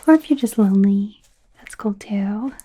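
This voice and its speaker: A woman voicing a young werewolf medical student in an ASMR roleplay, in a soft, breathy whisper with a hesitant, rambling, self-conscious manner. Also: medium pitch, moderate pace, lightly acted. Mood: hopeful, resigned. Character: young female werewolf medical student, awkward, eager, kind.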